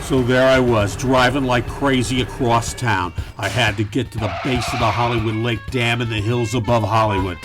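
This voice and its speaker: An actor playing a melodramatic, wisecracking private eye hero who is easily flustered, in a campy noir radio satire. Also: male voice, mid-range voice, fairly even intonation, moderate pace, hardly any pauses, over music, lightly acted. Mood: dramatic narrating.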